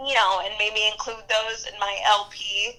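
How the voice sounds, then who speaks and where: A young woman speaks bluntly and outspokenly, with a bright, forceful edge to her voice. She's a musician and activist giving a casual interview and laughing easily. The sound is a little phone-like. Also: mid-range voice, little pitch movement, normal speed. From New Jersey and New York area.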